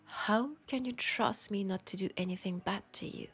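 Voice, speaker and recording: A woman with a French accent playing a seductive, world-weary female vampire in an intimate audio roleplay, speaking softly and deliberately, often slipping toward a whisper. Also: mid-range voice, soft background music, natural voice. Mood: frank, earnest.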